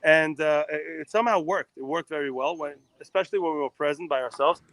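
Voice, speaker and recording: A man from Israel co-hosting a live talk show, talking fast in a rambling way with many restarts. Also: fairly high pitch, fairly bright tone, dry recording. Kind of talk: casual live chat.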